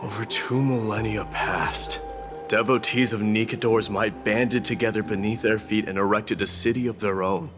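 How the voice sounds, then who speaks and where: A man's voice: on the low side, steady tone, moderate pace, close to an everyday voice. A man playing a proud, stern warrior prince turned war god in a video game, with a grandiose, declamatory delivery. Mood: scornful. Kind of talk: scripted video game acting. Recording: clear music behind.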